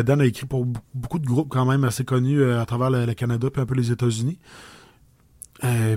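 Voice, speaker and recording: Male interview guest, Québécois accent, casual and halting, mid-pitched voice, dry close recording. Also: mellow, dry recording. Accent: Québécois French. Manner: hesitant, many pauses and restarts.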